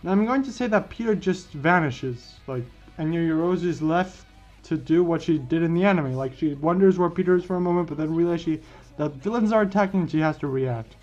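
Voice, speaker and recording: Higher-voiced man, halting storyteller over music. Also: lively intonation, darkish tone. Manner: halting, repeats and restarts phrases. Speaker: host of a fan what-if story series. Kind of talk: informal scripted fan-fiction storytelling. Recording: dull lo-fi mic, music behind. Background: background music.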